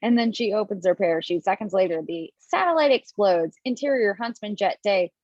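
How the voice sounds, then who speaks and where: A woman's voice: medium pitch, lively intonation, fairly quick, darkish tone, no echo. A woman reading the stage directions at a video-call table read, now and then laughing at her own slips. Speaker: script reader. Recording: dull lo-fi home mic.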